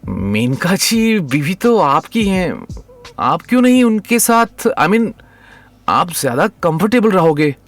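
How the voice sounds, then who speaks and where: A man's voice: high-pitched, very animated, slow, dry recording, full character voice. A man playing a shy, nervous young husband who hesitates and stammers in an audio drama. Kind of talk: Hindi audio drama acting. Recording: music behind the voice.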